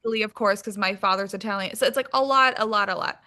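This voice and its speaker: A woman from Hong Kong and England, an actress being interviewed, telling her stories in an animated, informal, self-deprecating way. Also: mid-range voice, racing. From Hong Kong and England, born in US. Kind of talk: casual interview chat.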